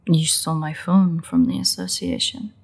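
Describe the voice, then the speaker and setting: A woman playing a sardonic, predatory vampire in an intimate roleplay audio drama, with a husky, breathy voice and a playful, drawling delivery. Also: low-pitched, big pitch swings, moderate pace, a little mellow. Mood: incredulous, sarcastic. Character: teasing, unrepentant female vampire, sardonic and predatory.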